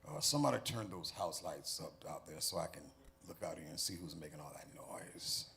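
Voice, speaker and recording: A man's voice: deep voice, very animated, brisk, gravelly, bright, forceful tone, heavily acted. A man doing a drawling old blues man. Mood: easygoing. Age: older. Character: elderly male blues musician, warm and easygoing.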